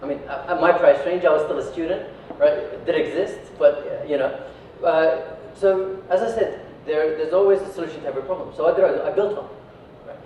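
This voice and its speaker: Man, slightly high voice, informal talk, conversational with frequent tag questions, distant echoey recording. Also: steady tone, fairly quick, hardly any pauses, warm tone. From Iraq. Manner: conversational, peppers sentences with tag questions like right and yeah. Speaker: speaker giving a talk, company founder. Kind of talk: informal motivational talk to a live audience. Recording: echoey room from afar, dull, faint noise.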